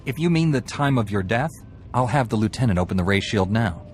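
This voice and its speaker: Man as a low-voiced ruthless warrior hero. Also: expressive, a little fast, natural voice. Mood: defiant, commanding. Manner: firm, commanding, hard. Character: ruthless, self-righteous Jedi warrior, commanding young man. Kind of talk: video game hero acting. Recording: game music under the voice.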